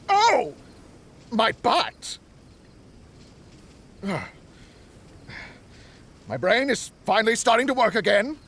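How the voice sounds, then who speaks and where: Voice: mid-range voice, big pitch swings, extremely slow, pauses often, a bit bright, a little hiss or hum, full character voice. An actor voicing a muddled, frantic researcher in a video game dub, whining and exclaiming in an exaggerated way. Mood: dazed, whiny.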